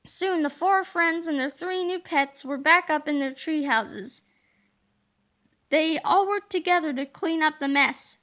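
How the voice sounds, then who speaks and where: Woman, high-pitched, bright forceful tone, expressive children's storybook narration, read-aloud.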